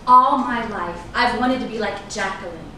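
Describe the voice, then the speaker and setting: In a very echoey room with clear noise, a guarded, ambitious woman played by a stage actress speaks with dramatic emphasis. Her high voice moves widely as she holds an old flame at bay in a staged drama reading. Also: normal speed, pushed and bright. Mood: intent, storytelling. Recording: echoey room, distant mic, noisy.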